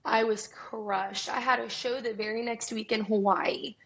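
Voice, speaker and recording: A young woman in her twenties with a naturally raspy voice, speaking as a guest musician in a relaxed interview. Her pitch sits a little high, and her talk is open, chatty and quick to laughter. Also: low-quality recording. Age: 20s. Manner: candid, chatty, laughs often. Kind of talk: casual interview chat. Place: Nashville.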